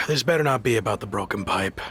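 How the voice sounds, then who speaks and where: A man playing a dry, understated former wrestling star on a comeback in a video game cutscene, with a husky voice. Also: lowish voice, animated, fairly quick, sharp tone, heavily acted. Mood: reluctant, exasperated.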